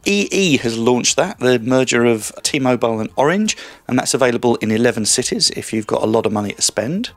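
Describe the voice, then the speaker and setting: A man's voice: medium pitch, sing-song, a little fast, hardly any pauses, dry recording. A man presenting a gadget review show in a dry, close studio sound. His delivery is breezy and teasing, with a mid-range voice. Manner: breezy, teasing banter with co-host. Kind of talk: scripted year-in-review presenting.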